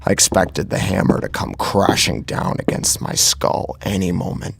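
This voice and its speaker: Very deep, gravelly male horror narrator. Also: normal speed, noisy background. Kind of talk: dramatic first-person horror narration.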